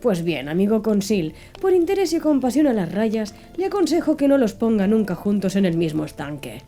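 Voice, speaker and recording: A woman's voice: medium pitch, very animated, normal speed, dark tone, faint music behind, natural voice. A woman voicing a blunt Canadian harpooner. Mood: wry.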